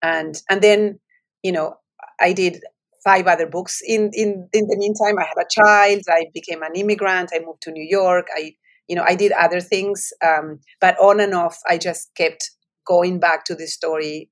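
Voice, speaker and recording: A woman author from Argentina, speaking English with a Spanish accent, her voice set slightly low. She tells her story in a reflective, winding way, often restarting her sentences. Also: steady tone, moderate pace. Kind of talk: interview answers.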